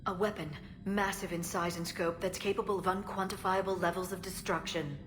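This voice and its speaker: An actress playing a gentle, grave alien scientist in a video game, speaking in a measured, earnest, precise way. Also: female voice, lowish voice, fairly even intonation, music in the background, close to an everyday voice. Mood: grave, concerned. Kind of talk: video game cinematic acting.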